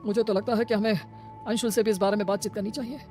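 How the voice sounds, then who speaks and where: A woman's voice: mid-range voice, fairly even intonation, fast, lightly acted. A woman voicing a kindly, grieving older father-in-law in a cartoon family melodrama, earnest and consoling, with music behind. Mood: caring.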